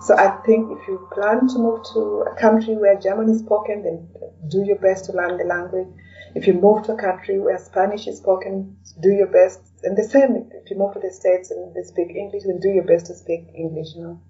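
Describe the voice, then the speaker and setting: Podcast guest: mellow female voice, chatty and hesitant, slightly distant from the mic. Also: medium pitch, little pitch movement, a little fast. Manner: chatty, hesitant, frequently adds "you know". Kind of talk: casual interview conversation. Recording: dull, distant, faint background noise.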